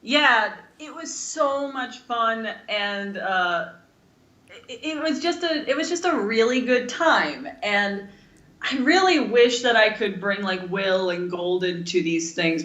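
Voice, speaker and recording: In a roomy, echoing space, a young woman with a bright, forward voice talks casually as a podcast co-host. She rambles enthusiastically, in the loose style of a chat among friends. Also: mid-range voice, lo-fi sound.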